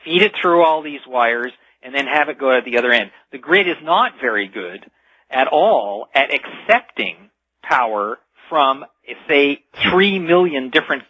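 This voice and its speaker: A man's voice: mid-range voice, lively intonation, measured pace, bright, forceful tone, husky, no room sound. A man speaking as an expert guest in a radio interview, explaining things emphatically and step by step. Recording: dull lo-fi radio sound.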